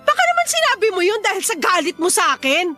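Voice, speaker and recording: A woman's voice: very high voice, big pitch swings, quick pace, pushed and bright, faint music behind, heavily acted. An actress with a Filipino accent playing an exasperated, confrontational woman neighbour in a radio drama. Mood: hurt, indignant. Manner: indignant, confrontational, demanding.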